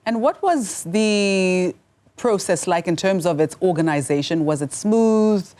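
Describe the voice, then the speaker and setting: A woman news anchor introducing a story and asking guests friendly, measured questions in a studio interview. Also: lowish voice, animated, a little mellow.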